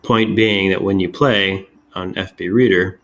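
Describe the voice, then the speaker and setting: Slow talking guy w a low voice doing a casual software demo, kinda halting, thinking out loud. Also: steady tone, slight background noise. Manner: halting, thinking aloud while demonstrating. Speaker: tech video host.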